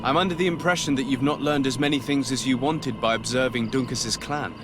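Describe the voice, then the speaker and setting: An actor playing a courteous, gentle young envoy, the hero of a video game, speaking politely and with composure. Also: male voice, mid-range voice, a little fast, close to an everyday voice. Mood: polite, attentive. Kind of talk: video game dialogue acting. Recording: music behind the voice.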